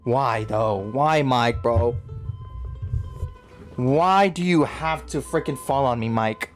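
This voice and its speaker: A man doing casual, slangy let's play commentary, reacting aloud in an excitable way. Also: medium pitch, slow, soft background music. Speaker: gaming video host. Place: home, near a barking dog.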